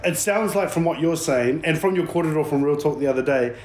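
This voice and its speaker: A man hosting a podcast, asking questions in a relaxed, encouraging way. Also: fairly high pitch, brisk. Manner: laid-back, encouraging, backchannels a lot. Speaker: podcast host. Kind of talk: casual interview. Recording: echoey room with faint noise.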